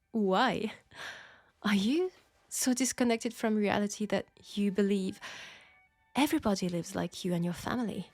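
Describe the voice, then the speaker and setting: A woman playing a candid, proud French seamstress in a steampunk audio drama, speaking with a French accent in a formal, deliberate way. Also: medium pitch, dry recording, faint music behind. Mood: reproachful, candid. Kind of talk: intimate scripted audio roleplay.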